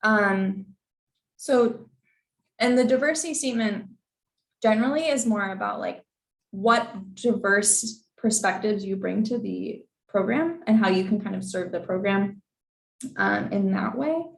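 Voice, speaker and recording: A woman's voice: mid-range voice, measured pace, gravelly, echoey room, low-quality recording. A young woman who is losing her voice, presenting an informal info session in a chatty way with many fillers. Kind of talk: informal advice talk with slides.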